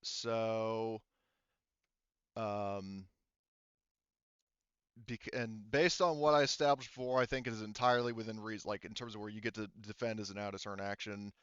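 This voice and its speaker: A man acting as game master of a tabletop game, explaining rules casually in a halting, step-by-step way. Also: lowish voice, fairly even intonation, a bit bright, smooth, no echo, lo-fi sound.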